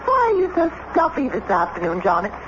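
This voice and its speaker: Persistent, teasing diplomat's wife, mid-pitched, very expressive, on a clearly noisy vintage radio recording. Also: female voice, brisk. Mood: reasoning, persistent. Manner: coaxing, teasing, articulate. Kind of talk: old-time radio drama acting. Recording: muffled, noisy old radio broadcast.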